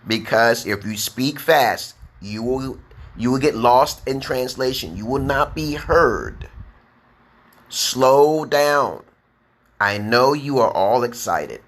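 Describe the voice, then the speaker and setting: A man with a New York accent teaching beginner English on a live stream, speaking slowly and emphatically and checking in with "okay?". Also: mid-range voice, expressive, fairly bright tone. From United States. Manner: drawn-out, emphatic, repeats letters and "okay?". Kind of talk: slow deliberate live-stream lesson.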